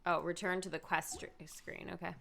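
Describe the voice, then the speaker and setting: A woman streamer giving casual, reactive gameplay commentary, expressive and thinking aloud. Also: on the low side, fairly quick, vocal fry, slight background noise. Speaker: game streamer.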